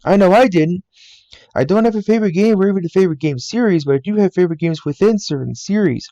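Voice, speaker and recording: A man's voice: high voice, fast, dark tone, no room sound, lo-fi sound. A man in his twenties from the United States, earnestly narrating a scripted voice-over about himself and his channel from a game room at home. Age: 20s. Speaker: YouTuber. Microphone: laptop mic.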